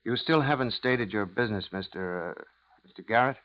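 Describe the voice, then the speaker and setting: A man's voice: on the low side, brisk, natural voice. An actor playing an anxious, hesitant American businessman, weak-willed and deferential, in a 1950s radio drama. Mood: guarded. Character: nervous, weak-willed guilty businessman, submissive to his lover. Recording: dull, lo-fi 1950s radio recording.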